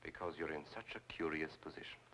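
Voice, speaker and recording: An actor playing a scheming, coolly impatient fortune hunter in an old film noir. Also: male voice, very deep voice, quick pace, sharp tone, heavily acted. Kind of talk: 1940s film noir acting. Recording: slightly noisy old film soundtrack.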